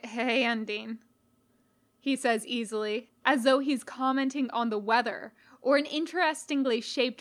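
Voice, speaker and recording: A woman giving an expressive narration of a scripted story, as an audiobook-style reader. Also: fairly high pitch. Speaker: podfic reader.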